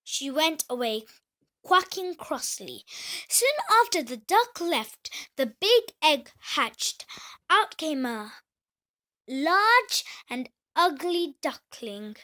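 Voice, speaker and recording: A woman reading a children's story aloud as the storyteller, with an expressive delivery. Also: sits high, slow pace.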